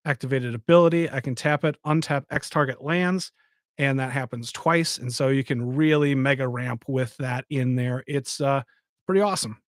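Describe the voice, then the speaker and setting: A man's voice: mid-range voice, moderate pace, no echo, clean studio sound. A man hosting a live stream, chatting casually and rambling as he talks to his viewers. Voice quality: says he has a soft voice. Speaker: podcast host.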